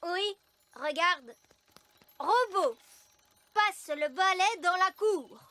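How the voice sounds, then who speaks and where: A woman plays an earnest little cartoon elf boy who likes giving orders. The voice is very high and bright, with measured, deliberate pacing. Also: animated, dry recording, exaggerated voice. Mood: friendly, confident. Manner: earnest, commanding, exclaiming. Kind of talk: children's cartoon dialogue.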